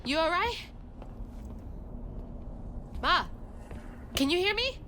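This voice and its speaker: A woman's voice: extremely high pitch, big pitch swings, slow pace, noisy background, heavily acted. An actress playing a determined, defiant young woman, a protective daughter, in a cinematic video game, with emotional intensity. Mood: anxious, tender. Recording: loud music over the dialogue.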